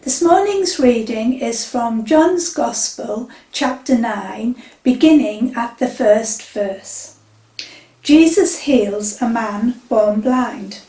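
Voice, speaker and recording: A woman reading a Bible passage at a church service, in a measured, expressive way. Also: on the high side. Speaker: scripture reader. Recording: echoey church from a distance.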